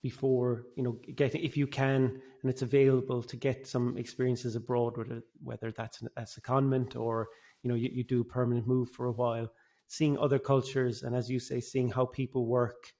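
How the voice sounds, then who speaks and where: A man hosts a casual podcast interview, with a gravelly edge and plenty of fry in his mid-range voice. He agrees readily with his guest and stumbles over repeated words as he restarts his questions. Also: mid-range voice, little pitch movement, fairly quick, dark tone. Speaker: podcast host.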